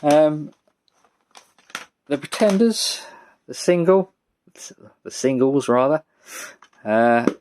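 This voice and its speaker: A British man giving a casual, rambling show-and-tell as a vlogger, speaking in a halting, low-key way. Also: lively intonation, darkish tone, slight background noise. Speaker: vlogger showing a music collection.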